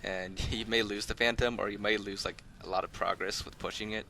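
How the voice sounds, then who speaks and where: A man with a fairly low but bright, forward voice hosts a gameplay tutorial. He rambles along conversationally, explaining things in an unscripted, easygoing way, with light noise behind him. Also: fairly even intonation, fairly quick, vocal fry. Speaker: host of a gaming video channel.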